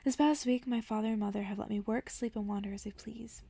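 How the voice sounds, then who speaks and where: Woman reading as a soft, earnest, creaky-voiced girl. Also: a little high, steady tone, quick pace, no echo. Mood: curious. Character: open-hearted, curious, dreamy village girl.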